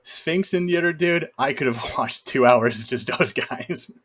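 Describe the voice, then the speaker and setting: Man, medium pitch, casual film podcast talk, emphatic, opinionated, argues point by point. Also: measured pace, a bit bright. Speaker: film podcast co-host or guest.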